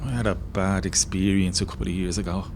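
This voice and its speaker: A man's voice: low-pitched, level tone, fairly quick, gravelly, darkish tone, slight background noise. An Irish man playing a wry, grumbling working-class rebel volunteer in an audio play, colloquial and comic.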